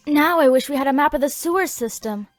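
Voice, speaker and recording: A woman's voice: high voice, fast, dry recording, clean studio sound, soft background music, close to an everyday voice. A woman voicing an earnest, dramatic teenage cartoon girl in an emphatic, theatrical fan fiction reading. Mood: earnest, determined.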